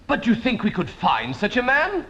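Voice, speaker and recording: A man's voice: very high voice, huge pitch swings, normal speed, sharp tone, exaggerated voice. An actor playing a brusque, blunt male courtier lord. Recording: dull recording with noise.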